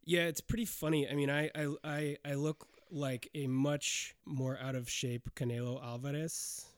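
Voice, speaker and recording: A man's voice: a little high, level tone, clean tone, no echo. A man hosting a podcast interview, often stumbling and repeating words as he asks questions. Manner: halting, repeats words while asking questions. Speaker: podcast host.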